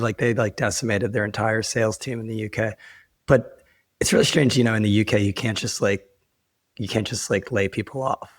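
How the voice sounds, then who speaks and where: A hoarse man who is losing his voice, talking casually and wryly as a podcast host in a rambling, informal way. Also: fairly low pitch, no echo, clean studio sound. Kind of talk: casual conversational podcast talk.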